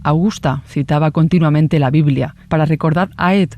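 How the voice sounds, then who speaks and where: A man from narrates a true crime documentary. His voice sits a little high for a male narrator, and he reads from a prepared script. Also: normal speed, a little mellow, a little hiss or hum.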